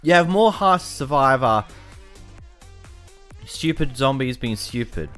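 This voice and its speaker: A man's voice: mid-range voice, animated, unhurried, fairly bright tone, faint music behind. A young Australian man casually commenting on a video game, chatty and self-mocking, tripping over words as he reads. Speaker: gaming YouTuber.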